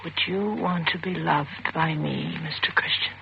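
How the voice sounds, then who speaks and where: An actress playing an embittered young murder suspect with intense, theatrical delivery in an old-time radio suspense drama. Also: female voice, low voice, fairly even intonation, unhurried, creaky, heavily acted. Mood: bitter, searching. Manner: intense, theatrical, rhetorical questioning. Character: young, beautiful, embittered wife accused of killing her husband. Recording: muffled, noisy vintage radio recording.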